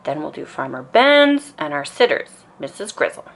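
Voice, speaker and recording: A woman's voice: deep voice, sing-song, normal speed, full character voice, rough recording. Lady putting on an eager little boy bear, storybook style, confident and emphatic. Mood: planning.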